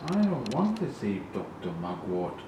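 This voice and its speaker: A man voicing a young boy frog from a storybook, whiny, reluctant and excitable, given to exclaiming. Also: fairly low pitch, measured pace. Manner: whining, exclaiming. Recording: very echoey, distant, noisy room.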